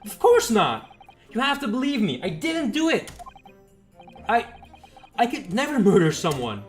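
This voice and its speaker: Game role: anxious young defendant, read by a man, higher register, animated, meek and pleading. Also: measured pace, soft background music, exaggerated voice. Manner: nervous, pleading. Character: anxious, apologetic young male student accused of murder.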